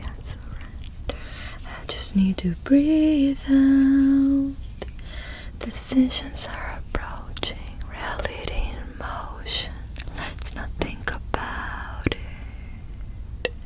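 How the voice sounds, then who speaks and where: A woman doing ASMR, softly singing and whispering song lyrics in a breathy, intimate way. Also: high voice, low-quality recording. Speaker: ASMR performer.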